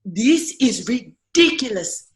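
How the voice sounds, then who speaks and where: A woman's voice: a little high, very animated, slow pace. A woman playing an imperious, indignant fairy-tale prisoner who speaks formally and insistently in a radio play. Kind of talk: irreverent radio play acting.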